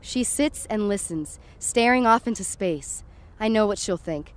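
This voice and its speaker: A woman's voice: medium pitch, expressive, measured pace, natural voice. Frightened, foul-mouthed sceptical young woman played by an actress. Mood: uneasy, wry. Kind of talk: first-person present-tense audio drama narration and dialogue.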